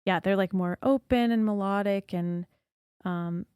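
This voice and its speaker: Soft, smooth-voiced young woman. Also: medium pitch, measured pace, dry recording, clean studio sound. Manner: soft-spoken, thoughtful, trails off with fillers. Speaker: guest singer-songwriter. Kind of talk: casual podcast interview.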